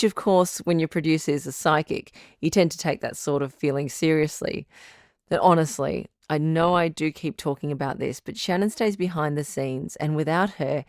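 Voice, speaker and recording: Woman playing a composed, low-pitched radio advice doctor. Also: darkish tone, no room sound, studio quality. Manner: measured, counselling, firm. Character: composed, frank female relationship doctor hosting radio. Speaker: radio advice show host. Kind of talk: scripted radio drama call-in show.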